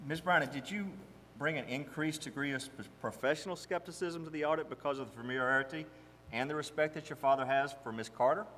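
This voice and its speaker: A man playing a probing board member questioning an auditor in an amateur stage dramatization, formal and deliberate. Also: fairly high pitch, bright, forceful tone, a little hiss or hum. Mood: stern, probing. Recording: echoey hall, long reverb.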